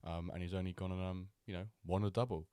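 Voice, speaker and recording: A man hosting a live stream, chatting loosely with many pauses and fillers, still a little nasal from a cold. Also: deep voice, level tone, mellow, clean tone, no room sound, clean studio sound. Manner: chatty, rambling, with many ums and restarts. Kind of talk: casual live-stream chat.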